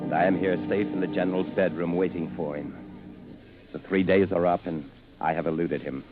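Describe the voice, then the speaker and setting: A man's voice: sits low, steady tone, brisk, lightly acted. An actor playing a desperate but resolute hunted man, narrating his ordeal with dramatic urgency in a vintage radio suspense drama. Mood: grim, resolved. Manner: intense, dramatic, breathless urgency with clipped phrases. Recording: tinny old radio broadcast, loud music behind.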